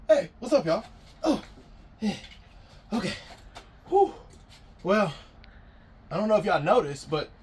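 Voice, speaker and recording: A man's voice: high voice, a little hiss or hum. A man with an American accent talking casually to viewers as a vlogger. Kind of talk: casual car project vlog.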